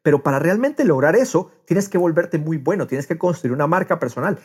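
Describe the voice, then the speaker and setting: A man's voice: on the high side, lively intonation, fairly quick, darkish tone, slightly rough. A man in a casual podcast conversation, speaking with enthusiasm and repeating words as he thinks aloud. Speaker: podcast host.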